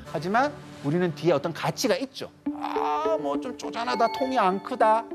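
Male lecturer, 30s, expressive, swinging delivery, acts out quotes, stage mic, faint music underneath. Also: extremely high pitch, normal speed. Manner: animated, acts out little quoted voices. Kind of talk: lively lecture to a studio audience. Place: large TV studio stage with audience.

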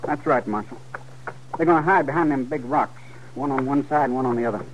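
An actor playing a defiant, earnest teenage frontier son with a rural American Western drawl in a 1950s radio western. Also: male voice, medium pitch, fairly quick, slight background noise, close to an everyday voice, poor audio. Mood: willing. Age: young adult. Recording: old 1950s radio recording.

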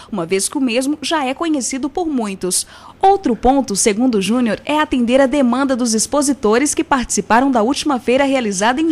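High-voiced woman reading a news report. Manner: even, formal reading voice. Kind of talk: scripted news narration. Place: outdoor craft fair under tents. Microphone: handheld mic.

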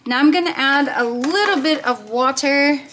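A woman's voice: sits high, normal speed, noisy background. A woman in her thirties chatting casually as she walks through her meal prep in a home kitchen, upbeat with cutesy words. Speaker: vlogger.

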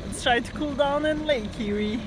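A woman's voice: sits high, moderate pace, loud music behind the voice. A woman vlogging outdoors on a sailboat, talking casually and cheerfully, laughing often. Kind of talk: casual travel vlog narration.

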